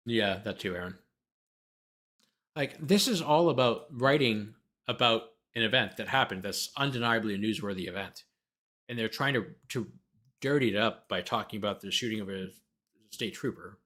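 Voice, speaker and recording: A man's voice: lowish voice, moderate pace, a bit bright. A man who hosts a livestream as a lawyer, reading a document aloud and breaking off into sarcastic, exasperated, argumentative commentary.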